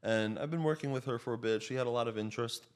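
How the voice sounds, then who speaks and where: A man with an average-pitched voice talks as a podcast guest. He has a slight Slovak accent from his first language. His storytelling is casual and rambling, with tangents and self-interruptions. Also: quick pace, clear, resonant tone. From child of Slovak parents. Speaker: podcast guest, real estate agent.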